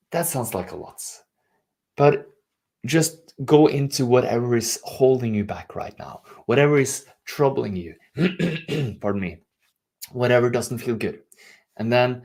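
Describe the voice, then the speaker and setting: A man's voice: medium pitch, a little mellow, breathy edge. A middle-aged man from Norway with a Norwegian accent, giving an earnest, self-teasing motivational talk as a leadership coach.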